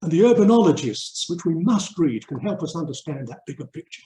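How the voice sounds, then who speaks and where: An older man from England giving a reflective webinar talk, earnest and emphatic, with deliberate pauses. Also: a little high, very animated, warm tone. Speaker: urban mission speaker and theologian. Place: indoor room, online webinar. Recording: roomy, echoey webinar audio.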